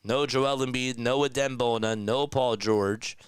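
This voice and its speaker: Raspy-throated male basketball play-by-play caller. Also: medium pitch, fairly even intonation, unhurried, no echo, studio quality. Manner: conversational, reads and answers chat comments. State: raspy and nasal from a sore throat. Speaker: play-by-play commentator. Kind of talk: live basketball play-by-play commentary.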